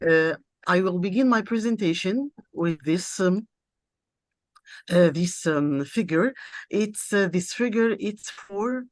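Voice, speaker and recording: Slow, halting woman speaker. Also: mid-range voice, lively intonation, dry recording, clean studio sound. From Egypt. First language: Arabic. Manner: halting, searches for words with many pauses. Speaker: keynote speaker. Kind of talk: conference presentation.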